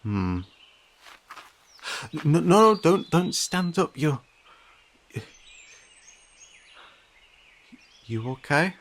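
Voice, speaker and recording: A man playing a friendly young cat-person in an audio roleplay, talking informally and hesitantly to a silent listener, with gentle questions and dry teasing. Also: on the high side, big pitch swings, takes it slowly, full character voice. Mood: concerned, then startled, puzzled.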